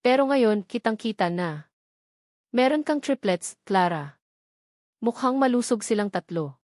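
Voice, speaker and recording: A woman voicing a calm, cheerful, reassuring female doctor in an audio storybook. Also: on the high side, measured pace, no room sound, clean studio sound. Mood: upbeat reassurance. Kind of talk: dramatic audio storybook dialogue.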